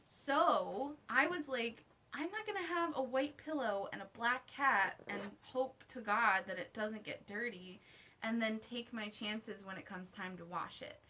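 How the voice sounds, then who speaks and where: Bright, high-voiced woman chatting casually. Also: unhurried, slight background noise. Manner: informal and slangy, talks to herself. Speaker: vlogger reviewing her own merch. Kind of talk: casual unboxing chat to camera.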